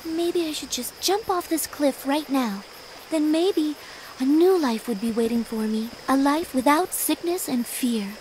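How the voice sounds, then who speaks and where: A woman playing a gentle, selfless, gravely ill teenage girl in a video game cutscene, soft-spoken and earnest. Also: high voice, unhurried, a little hiss or hum, poor audio. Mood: wistful.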